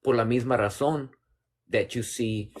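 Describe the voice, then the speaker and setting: A man's voice: medium pitch, fairly even intonation, moderate pace. A man speaking earnestly and measuredly as a guest in a discussion, slipping Spanish phrases into his English. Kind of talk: casual discussion.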